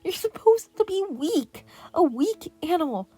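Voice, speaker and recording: Woman voicing a shrill, raging male villain. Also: big pitch swings, a little slow, heavily acted. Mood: enraged, scornful. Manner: yelling and snarling. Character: snarling, petulant male villain, enraged and scornful.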